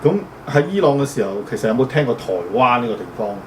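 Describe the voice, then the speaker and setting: A man with a Hong Kong Cantonese accent, hosting a casual interview and asking questions in a relaxed, chatty way with fillers. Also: medium pitch, quick pace, crowd noise. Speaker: host. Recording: distant mic with faint background noise.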